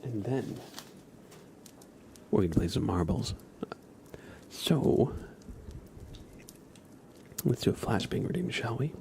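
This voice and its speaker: A man doing ASMR on a live stream in a breathy, hushed whisper, speaking gently and softly. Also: fairly low pitch, animated, slow, pauses often, a little hiss or hum. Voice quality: breathy whisper with soft-spoken stretches. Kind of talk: ASMR, whispered relaxation and guided imagery.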